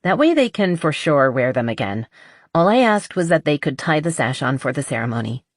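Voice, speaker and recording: A woman voicing an excited, affectionate bride in her mid-thirties in a romance audiobook, gushing a little. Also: sits low, a little fast, no echo, clean studio sound. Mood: happy.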